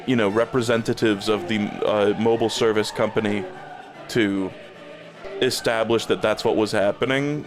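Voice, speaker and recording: A man streaming a game, rambling in casual chat with plenty of swearing and fillers, a bit phlegmy in the throat. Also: medium pitch. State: a little phlegmy.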